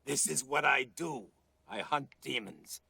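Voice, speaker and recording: An actor voicing a streetwise, sardonic ventriloquist dummy with a gravelly, husky voice in a TV drama. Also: male voice, medium pitch, a little slow, bright, forceful tone, exaggerated voice. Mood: matter-of-fact. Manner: tough, sardonic, clipped. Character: wisecracking, streetwise male ventriloquist dummy, cursed demon hunter. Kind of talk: TV drama dialogue.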